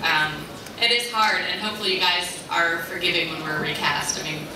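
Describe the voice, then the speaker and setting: A woman voice actress jokes about her roles, laughing easily at herself. She sounds distant from the mic in a large, booming convention hall, with a loud background hiss. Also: steady tone, a little fast. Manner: joking, laughing, self-deprecating. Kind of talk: casual panel chat. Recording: echoey hall, far from mic, noisy.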